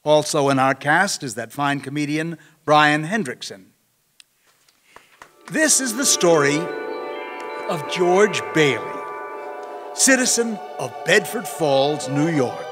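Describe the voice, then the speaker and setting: A man as a grand, high-voiced radio announcer. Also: lively intonation, slow, pauses often. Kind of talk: 1940s radio acting. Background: music.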